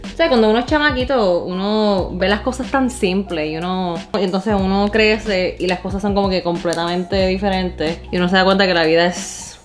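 A woman's voice: mid-range voice, normal speed. Young Puerto Rican woman chatting over music. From Puerto Rico. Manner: informal, slangy, switches into English words. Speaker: vlogger. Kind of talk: casual chat, personal storytelling. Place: living room at home.

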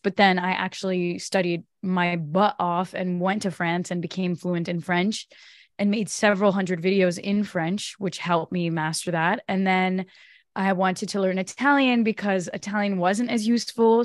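A young adult woman from Brazil, a native Portuguese speaker, telling her story as a podcast guest in a lively, animated way. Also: on the low side, normal speed, dry recording, clean studio sound. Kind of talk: casual interview chat. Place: home office with bookshelves. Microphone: studio mic on boom arm.

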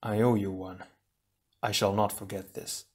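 A man's voice: lowish voice, level tone, a little slow, a little mellow. A man voicing a stern, reserved male ice hero who speaks formally and tersely. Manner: formal, clipped.

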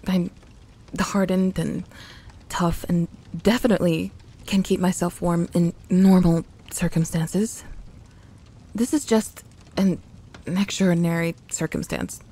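Breathy, mellow tsundere tomboy played by a woman. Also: lowish voice, steady tone, takes it slowly, long pauses, exaggerated voice. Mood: defensive. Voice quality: soft, breathy. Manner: grumbling, flustered, sighing. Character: young tsundere tomboy best friend, grumpy but secretly affectionate. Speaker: audio roleplay voice performer. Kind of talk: intimate ASMR audio roleplay, often dropping to a whisper.

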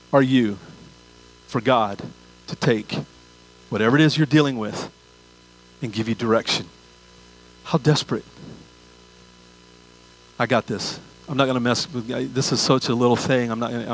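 An older pastor delivers a sermon in a mid-pitched voice. He speaks conversationally to his listeners. He repeats key phrases and poses rhetorical questions to drive each point home. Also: steady tone, normal speed, pauses often, poor audio. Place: large church auditorium stage.

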